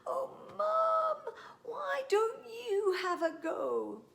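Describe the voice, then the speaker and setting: A woman's voice: very high voice, wildly swooping pitch, drawn-out words, gravelly, fairly bright tone, voice pushed up, exaggerated voice. A woman voicing a frantic little girl with prickles stuck in her backside, shrieking and pleading in a storybook reading. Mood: panicked pleading.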